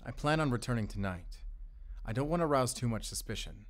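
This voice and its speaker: A man's voice: mid-range voice, expressive, brisk, lightly acted. A man voicing a murderous, misanthropic werewolf's journal as a solemn, ominous dramatic monologue in an audio drama. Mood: brooding. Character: male murderous werewolf diarist, brooding, fanatical, misanthropic.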